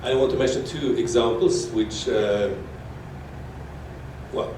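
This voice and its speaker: Halting man from Norway, echoey hall. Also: mid-range voice, background noise. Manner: halting, repeats words, fillers. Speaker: audience member asking a question. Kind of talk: question from the floor at a panel. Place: large echoey conference hall. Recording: echoey hall audio from far off.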